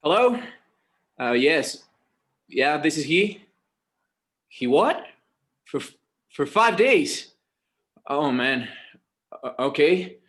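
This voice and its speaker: A man's voice: on the high side, very animated, very slow, long pauses, a bit bright, exaggerated voice. A man acting as an exasperated but dutiful forty-year-old son, hesitant and weary, in a naturalistic table read. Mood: groggy, concerned.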